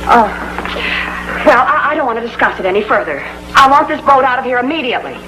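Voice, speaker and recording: A woman's voice: a little high, expressive, fast talker, sharp tone, a little hiss or hum, rough recording. An actress playing an exasperated, firm middle-aged American wife and mother in a 1950s sitcom, sarcastic and scolding. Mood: firm, angry.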